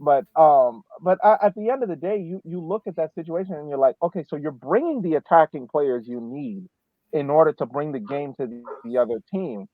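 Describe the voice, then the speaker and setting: A man's voice: a little high, animated, brisk, dry recording, phone-quality sound. A man co-hosting a sports podcast, chatting casually about soccer and often repeating words as he starts his sentences. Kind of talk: casual soccer analysis chat.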